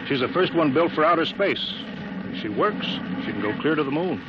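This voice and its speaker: An actor playing a serious, cautious American rocket project chief in a 1950s radio drama. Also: male voice, on the high side, lively intonation, fairly quick, lightly acted. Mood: firm, insistent. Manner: serious, insistent, businesslike. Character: earnest, worried male rocket project chief, firm and cautious. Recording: muffled, noisy vintage radio recording.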